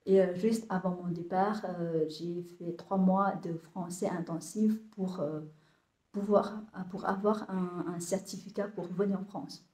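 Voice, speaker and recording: A woman in her thirties from Vietnam, speaking French with a Vietnamese accent, describing her compost business to camera and often pausing on fillers and restarts. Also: lowish voice, steady tone, a little mellow, reverberant. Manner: hesitant, many fillers and restarts. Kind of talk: casual talk to camera, halting.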